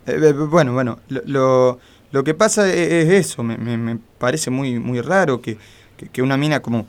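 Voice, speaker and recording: A man's voice: medium pitch, natural voice. An actor playing an insecure, lovelorn man in a comic radio play, with a heavy nervous stutter and an Argentine accent. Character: insecure, lovelorn, short homely man, a detective's client.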